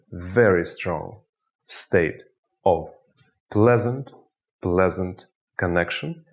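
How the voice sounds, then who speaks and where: A man with a Russian accent, a hypnotist guiding the listener through an anchoring exercise with slow, soothing, repetitive suggestions. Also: low-pitched, a little mellow. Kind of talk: guided hypnosis instruction.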